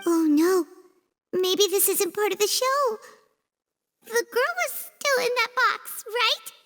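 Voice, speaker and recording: A woman voicing a tiny, excitable floating companion creature in a game cutscene, chattering and exclaiming. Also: very high voice, slow pace, full character voice. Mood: worried. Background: music score.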